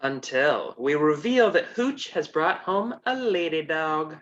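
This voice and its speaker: A woman's voice: deep voice, expressive, slow, highs cut off. A woman narrating a scripted comedy with wry sass. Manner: wry, sassy.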